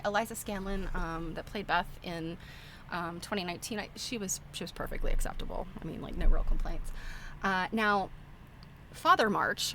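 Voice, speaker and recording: A woman's voice: medium pitch, fairly bright tone, slight background noise. A middle-aged woman hosting a livestream, chatting informally and giving opinionated, rambling film commentary. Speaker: livestream host. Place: live internet stream.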